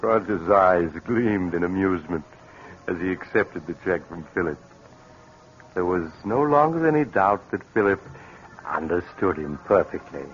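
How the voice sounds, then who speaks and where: Deep, ominous radio mystery narrator. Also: male voice, lively intonation, background noise. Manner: measured, suspenseful. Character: ominous, knowing male storyteller of mystery tales. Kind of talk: scripted vintage radio narration. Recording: muffled old radio recording. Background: dramatic music.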